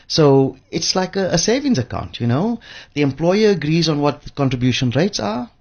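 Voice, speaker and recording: A man's voice: a little high, sing-song, normal speed, dull audio. A man speaking as an expert guest in a conversational radio interview, emphatic and repeating words for stress. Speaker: studio guest, pension fund expert.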